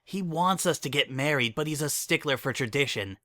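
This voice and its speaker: Patient cartoon unicorn mare, played by a man: slightly lifted, bright voice, affectionate and reassuring, dry sound. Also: fairly high pitch, expressive, brisk, dry recording, voice pushed up. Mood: tender, reassuring. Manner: explanatory, reassuring.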